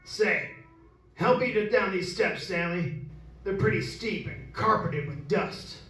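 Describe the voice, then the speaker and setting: Brash, folksy family man acted by a man, dismissive and high-voiced, old-style radio drama, roomy and off-mic. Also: takes it slowly, sharp tone, far from the mic, full character voice. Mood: blustery. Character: brash, skeptical, plain-spoken father, new owner of old house. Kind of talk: radio horror drama acting. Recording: roomy, off-mic, faint music.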